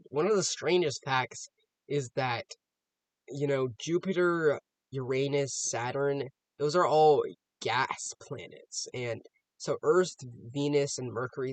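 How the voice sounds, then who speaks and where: Male reaction host, medium pitch, dry sound, unscripted and conversational, reasons things out as he talks. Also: no echo. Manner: musing, thinking aloud with rhetorical questions. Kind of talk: casual off-the-cuff reaction commentary.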